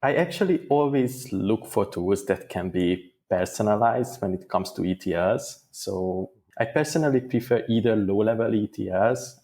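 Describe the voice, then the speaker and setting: A man answering interview questions as a guest, in a thoughtful, measured way with small restarts. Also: lowish voice, darkish tone. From Hungary. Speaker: guest data engineer.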